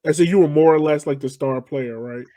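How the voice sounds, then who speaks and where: A man's voice: a little high, a little fast, mellow, no room sound. An American man interviewing a guest as a podcast host in an informal, colloquial, enthusiastic way. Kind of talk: casual chat interview.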